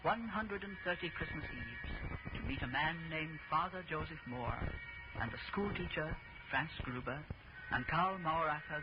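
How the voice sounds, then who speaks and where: Loud music swells behind a muffled vintage radio recording. A man with a slightly high voice narrates solemnly, in the measured storytelling manner of 1940s radio drama.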